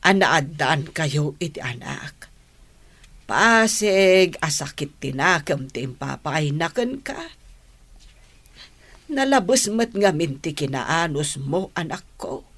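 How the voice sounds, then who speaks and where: A woman's voice: deep voice, big pitch swings, slow, a bit bright, exaggerated voice. A woman acting a worried, loving older mother admonishing her child in an Ilocano Filipino radio drama. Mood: pleading, admonishing.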